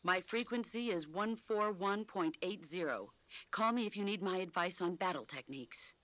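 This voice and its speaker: An actress playing a composed, solemn female war-hero mentor in a video game, measured and authoritative. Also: fairly low pitch, lively intonation, a bit bright, no echo. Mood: instructive. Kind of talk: video game cutscene acting.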